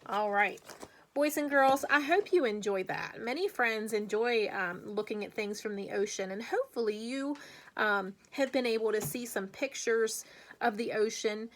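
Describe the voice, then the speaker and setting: A woman teacher reading aloud to young children with chatty asides, speaking deliberately and putting questions to her listeners in an encouraging way. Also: on the high side. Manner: slow, deliberate, emphatic when reading aloud.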